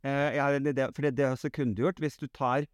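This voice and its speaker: A man co-hosting a podcast in casual comedic chat, giggly and halting as he eagerly agrees. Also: mid-range voice, little pitch movement, no echo, studio quality. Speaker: podcast co-host.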